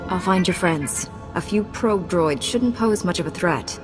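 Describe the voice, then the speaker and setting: A woman's voice: on the low side, animated, measured pace. Cool, confident woman hero, echoey. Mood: assured. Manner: cool, assured. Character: confident, dryly witty female Jedi Knight hero. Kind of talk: video game cutscene acting. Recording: echoey hall, music behind.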